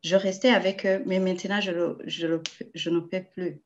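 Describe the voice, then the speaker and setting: Low-voiced woman, dull lo-fi audio. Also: steady tone, moderate pace. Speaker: forum participant. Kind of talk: personal testimony.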